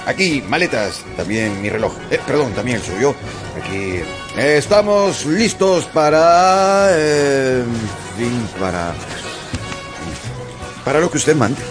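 A man's voice: medium pitch, sing-song, slow, over music. A man playing a flustered, obedient manservant in a radio drama, stammering and apologizing as he tries to please. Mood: flustered, servile.

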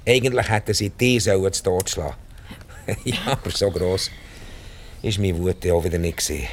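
Low-voiced, cynical old Swiss man played by an actor. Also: a little hiss or hum, natural voice. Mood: bitter, cold. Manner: halting, blunt, world-weary monologue. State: in pain, short of breath. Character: ailing, ruthless old rich returned emigrant, cynical. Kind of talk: radio drama acting in Swiss-coloured German.

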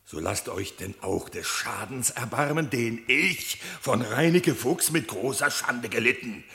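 A gravelly, aggrieved wolf. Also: male voice, medium pitch, lively intonation, sharp tone, full character voice. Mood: wounded pleading. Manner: slow, pleading, solemn. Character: aggrieved male wolf, bitter accuser at court. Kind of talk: literary radio drama, verse declamation.

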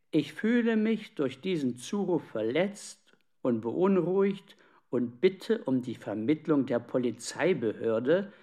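An official reading a police report, measured and really slow, mid pitch, docudrama style. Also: male voice, darkish tone. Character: formal, sober male reader of 1930s police complaint. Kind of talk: documentary radio play, official record reading.

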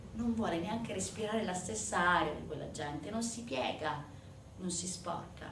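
Female stage storyteller, highish register, dramatic swings in volume, faint noise in the recording. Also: roomy sound, recorded from a distance. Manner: intense, emphatic, swinging from hushed to loud. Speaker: stage actress narrating a monologue.

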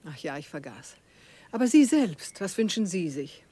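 A woman's voice: fairly low pitch, very animated, normal speed, dark tone, husky, no room sound. A woman playing a capricious Queen of France in a German radio drama, haughty, regal and commanding. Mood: condescending. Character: capricious, imperious Queen of France, grandly condescending.

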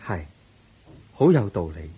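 An actor playing the Buddha as a calm, patient teacher in a radio drama, measured and instructive, with a Hong Kong Cantonese accent. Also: male voice, deep voice, slightly rough, full character voice, low-quality recording.

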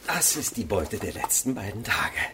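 An actor in a radio drama playing a plain, deferential rustic forest worker. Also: male voice, on the low side, sing-song, moderate pace, recorded from a distance. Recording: off-mic with loud background noise.

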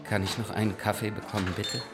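Man acting down-and-out hesitant guy in a radio play, deep gravelly subdued voice. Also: level tone, music in the background, close to an everyday voice. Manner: halting, subdued. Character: despondent, hesitant, broke man, low and weary. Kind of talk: radio drama acting with inner monologue.

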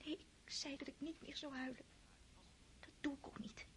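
A high-voiced lovesick young girl. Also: fairly even intonation, moderate pace. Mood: whispering, wistful. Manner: hushed whispered inner monologue. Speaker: countess's daughter. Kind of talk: radio play acting.

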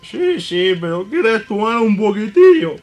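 A Rioplatense man with a very high-pitched voice co-hosts a comic radio show. He chats agreeably and repeats his words over faint music. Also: sing-song, unhurried, darkish tone.

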